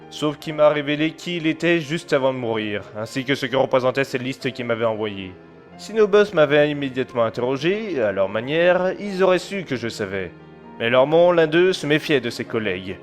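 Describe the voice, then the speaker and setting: A man playing a calm loyal assassin. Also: mid-range voice, lightly acted. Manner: calm, matter-of-fact. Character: calm loyal male assassin, young adult. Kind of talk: amateur audio drama acting. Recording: music underneath.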